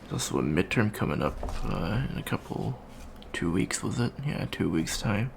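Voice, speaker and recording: Gravelly, awkward young alien student voiced by a man. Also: lowish voice, animated, normal speed, noisy background. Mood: awkward, chatty. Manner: hesitant, stammering, awkward small talk. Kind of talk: improvised ASMR roleplay, casual chat.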